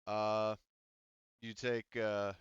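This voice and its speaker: Man, game master, casual explanations, smooth tone, close dry sound. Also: on the low side, flat delivery, extremely slow, dry recording, highs cut off. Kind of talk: casual tabletop game refereeing.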